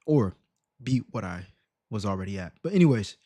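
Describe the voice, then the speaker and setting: A man hosting a casual reaction livestream, slangy and emphatic with rhetorical questions, in a slightly raspy voice. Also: on the low side, lively intonation, normal speed, mellow, dry recording, studio quality. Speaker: live stream host reacting to videos.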